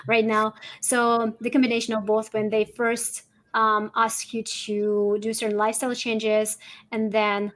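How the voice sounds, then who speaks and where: A woman's voice: on the high side, monotone, a little slow, a bit bright. A woman with a Russian accent explaining things conversationally as an interview guest, often checking in with "right?". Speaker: guest, holistic fertility coach.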